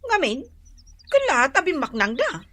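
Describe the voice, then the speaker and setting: An actress playing a gossipy, envious woman neighbor in a radio drama, complaining and quick with retorts. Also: high-pitched, wildly swooping pitch, a bit bright, a little hiss or hum, heavily acted.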